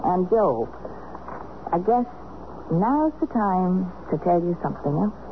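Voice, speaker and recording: Determined wife and mother, 1970s radio drama, narrow phone-like sound, clear background noise. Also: on the low side, expressive, slow. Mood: tender. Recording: noisy phone-like old radio broadcast.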